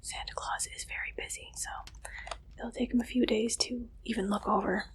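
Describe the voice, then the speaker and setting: A woman whispers softly and breathily in an ASMR roleplay. Her voice sits a little high for a woman. She plays an interviewer, addressing the listener in a playful, unhurried way. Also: steady tone.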